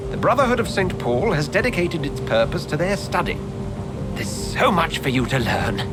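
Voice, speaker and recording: An actor playing a genteel, eager British hospital administrator and physician, urbane and theatrical, in video game dialogue. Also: male voice, a little high, big pitch swings, normal speed. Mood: persuasive. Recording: loud music and ambience behind.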